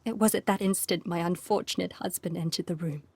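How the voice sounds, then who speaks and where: A woman's voice: on the low side, steady tone, a little fast, darkish tone, breathy edge, dry recording. A woman voicing an unhappy aristocratic Victorian widow with a formal, refined, plaintive manner in a video game. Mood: shaken, recounting. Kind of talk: video game cutscene acting.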